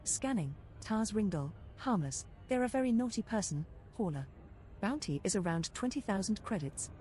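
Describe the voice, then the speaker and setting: A woman playing a flirtatious spaceship copilot computer, giving measured, teasing readouts in a game voice pack. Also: medium pitch, lively intonation, warm tone, natural voice. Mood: businesslike.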